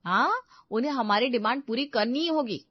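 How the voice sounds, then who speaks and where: A woman's voice: fairly high pitch, fairly quick, no echo, voice pushed up, close to an everyday voice. A woman voicing a harried, aggrieved elder daughter-in-law in a family story, plaintive and emphatic, in a slightly dull recording. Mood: resolute.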